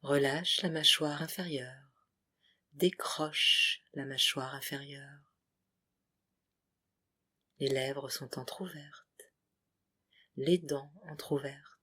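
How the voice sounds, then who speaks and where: Casting: meditation guide, mid-pitched voice, breathy and hushed, soothing short phrases for deep relaxation. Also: fairly even intonation, drawn-out words, long pauses. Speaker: yoga nidra guide.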